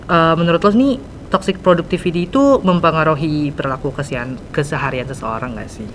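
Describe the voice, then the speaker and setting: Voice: medium pitch, fairly quick, slight background noise. A teenage podcast host with a Jakarta accent, chatting casually and mixing English into slangy, informal speech. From Indonesia. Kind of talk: casual interview chat.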